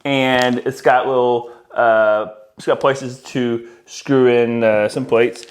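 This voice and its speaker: A man's voice: mid-range voice, steady tone, slow pace. An American man in his forties giving a casual, conversational product walkthrough to camera as an installer who addresses his viewers directly. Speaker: audio-video installer presenting products. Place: indoor room with decorated wall, at a worktable.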